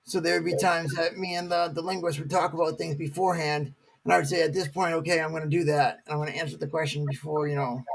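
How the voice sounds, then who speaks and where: A man's voice: a little high, level tone, quick pace, creaky, few pauses. A middle-aged man, a military veteran guest, chatting casually with many fillers and restarts. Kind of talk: casual talk show chat.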